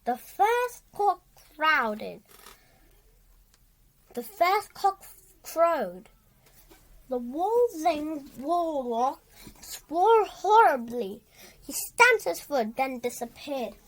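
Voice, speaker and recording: High-pitched child reading a story aloud, slowly. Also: big pitch swings, a bit bright, poor audio. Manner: halting, stumbles and repeats words while reading.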